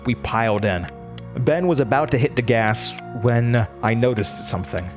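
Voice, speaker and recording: A man's voice: mid-range voice, expressive, moderate pace, lightly acted. A man playing a shaken young college student, narrating a horror audio drama in a dramatic, haunted way that stammers under stress. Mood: dread. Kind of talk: horror audio drama first-person narration, acting out dialogue. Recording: music bed under the voice. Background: music.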